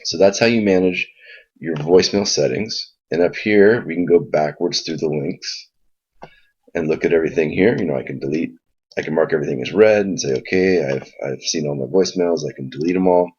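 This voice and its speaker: A man presents a casual software tutorial. His voice is very low and husky, and he explains each step informally. The recording sounds dull and lo-fi. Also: a little fast. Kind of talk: casual spoken screen walkthrough.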